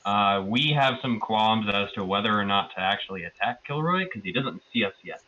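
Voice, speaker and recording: A man with a fairly deep but sharp-edged voice retells a roleplaying session as a player. He rambles casually and sounds amused. The online voice-chat audio is a little muffled. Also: lively intonation, moderate pace. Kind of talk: casual recap of a tabletop game.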